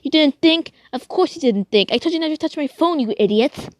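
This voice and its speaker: A woman's voice: high-pitched, animated, brisk, no room sound, clean studio sound, pitched above the performer's own voice. A woman playing a protective but hot-tempered brother in a fan story, blunt and scolding in manner. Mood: angry.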